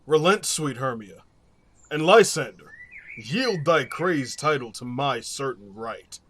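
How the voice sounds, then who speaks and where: An entitled young suitor acted by a man in a Shakespearean audio play. His husky, mid-pitched voice presses his claim slowly and insistently. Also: expressive, a bit bright, full character voice. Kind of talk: Shakespearean verse audio drama acting.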